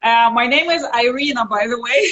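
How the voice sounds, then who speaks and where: A woman's voice: on the high side, normal speed, bright, forceful tone, lo-fi sound. A middle-aged woman from Russia with a Russian accent, a native Russian speaker, chatting casually as an interview guest who teaches English.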